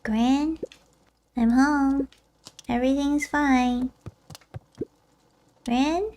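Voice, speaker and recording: Woman voicing a high-pitched, gentle young boy. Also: steady tone, very slow, slight background noise. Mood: anxious, hesitant. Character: earnest, anxious young boy. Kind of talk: video game character dialogue read aloud.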